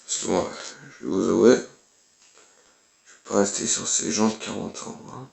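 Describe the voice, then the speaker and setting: Gravelly man with a cold. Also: on the low side, a little slow, darkish tone, slight background noise. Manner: casual, blunt, swears offhandedly. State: has a cold. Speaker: gaming youtuber. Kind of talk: casual let's play commentary.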